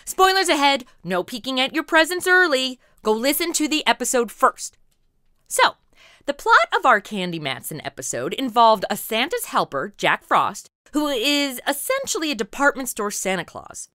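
Bright, animated American woman hosting. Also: high-pitched, moderate pace, dry recording, clean studio sound. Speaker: podcast host. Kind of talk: scripted, playful podcast interview.

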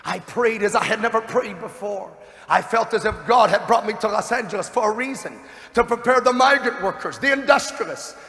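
A young American man playing a fervent Baptist preacher of the early 1900s in a theatrical stage monologue with dramatic pauses. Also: sits high, vocal fry, bright, forceful tone, full character voice. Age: young adult. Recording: echoey theater hall.

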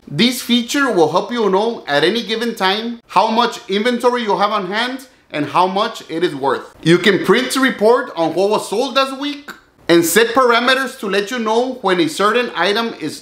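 High-voiced man vlogging in an echoey room. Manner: earnest, steady delivery to camera. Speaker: business owner and channel host. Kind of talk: scripted talking-head vlog. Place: home office with bookshelves.

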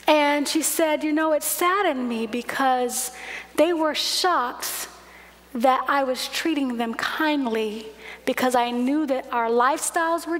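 A woman in her forties preaching a sermon at a lectern in a large church, telling stories in a gentle, measured way. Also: high-pitched. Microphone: lectern microphone. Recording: long hall reverb.

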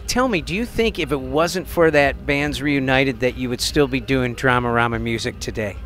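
A man's voice: mid-range voice, normal speed, a bit bright, soft background music. A man from Metro Detroit interviewing a musician, asking long, rambling questions full of fillers into a handheld mic in an indoor room. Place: indoor venue backstage room.

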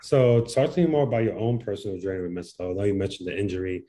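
A man's voice: lowish voice, fairly even intonation, rapid-fire, mellow. A man hosting a casual video-call interview, asking friendly, conversational questions. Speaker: interview host.

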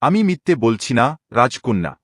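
A man voicing a solemn, respectful young prince in an animated story, speaking formally and gravely. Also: mid-range voice, moderate pace, dry recording, clean studio sound. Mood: firm, pained. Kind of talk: dramatic Bengali animated story dialogue.

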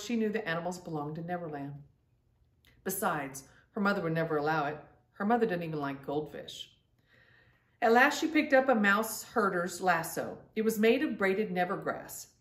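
Middle-aged female storytime host, mid-range voice, reading to children from a little way off the mic in a roomy space. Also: medium pitch. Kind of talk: read-aloud children's storytelling. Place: indoor room at home. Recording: roomy, distant-mic home recording.

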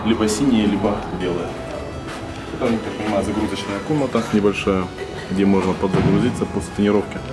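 A man's voice: fairly low pitch, fast talker. Young man touring an echoey gym. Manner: relaxed, thinking aloud with fillers and restarts. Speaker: weightlifting vlogger touring a gym. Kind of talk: casual walking tour commentary. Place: large gym hall indoors. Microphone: handheld camera at close range. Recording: echoey gym hall with loud music. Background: loud music.

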